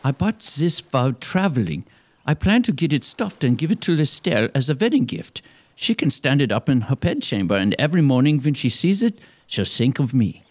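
A man's voice: medium pitch, sing-song, fairly quick, darkish tone. Man playing a pompous, gullible suitor, swooping voice. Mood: fussy, boastful. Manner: pompous, self-satisfied. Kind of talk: gothic comedy audio drama.

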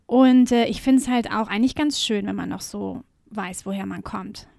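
A woman's voice: medium pitch, moderate pace, gravelly, warm tone, no echo, clean studio sound. A German woman co-hosting a podcast, chatting casually with her co-host and often using fillers and laughing. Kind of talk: casual chat. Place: home room with windows closed.

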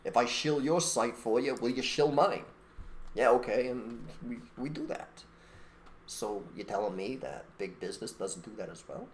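A man's voice: mid-range voice, normal speed, a little hiss or hum. A man co-hosting a podcast, talking in a blunt, emphatic way and making rhetorical points in an opinionated conversational monologue. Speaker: podcast co-host.

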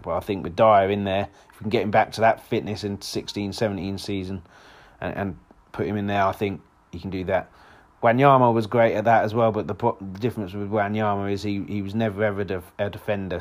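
A man with a hoarse voice, hosting a solo football podcast in a rambling, casual way with lots of restarts. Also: lowish voice. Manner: rambling, frequent restarts and fillers.

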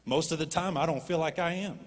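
A man preaching a sermon as a pastor, animated and rising to impassioned pleading. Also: fairly high pitch, a little fast. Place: church stage. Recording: long reverb of a church hall.

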